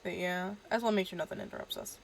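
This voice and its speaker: A woman's voice: on the low side, steady tone, very fast, vocal fry. A woman with a stuffy, allergy-clogged voice chats her way through a game video. She rambles and fills the gaps with fillers, keeping her voice down at home at night. Her mic adds a crackle of static. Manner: chatty, rambling, trails off with fillers; talks quietly so as not to wake others. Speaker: gaming video host. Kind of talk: casual let's-play commentary.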